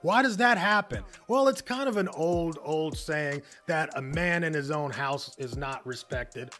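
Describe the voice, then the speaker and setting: An American man with a fairly high voice delivers an upbeat motivational coaching talk. He keeps tagging his points with a quick "right?". Soft music plays low behind him. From United States. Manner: energetic, tags lines with "right?". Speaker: business coach. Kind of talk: motivational teaching monologue.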